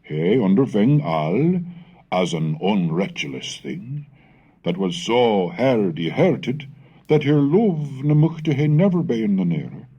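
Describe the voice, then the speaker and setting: A man reading a scripted educational recording on language history, scholarly and measured, turning theatrical when he recites old verse. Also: fairly low pitch, sing-song, dark tone, slightly rough, lo-fi sound. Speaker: reader and lecturer on English language history. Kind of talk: scripted educational narration with dramatic recitation of Middle English texts. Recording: 1970s educational cassette recording.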